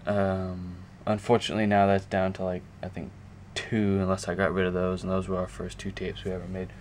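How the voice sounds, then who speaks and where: A man giving a casual, unscripted show-and-tell to a camera, talking haltingly and thinking aloud as he goes. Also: low voice, monotone, darkish tone. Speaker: video creator showing a music collection. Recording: clear background noise, amateur camera audio.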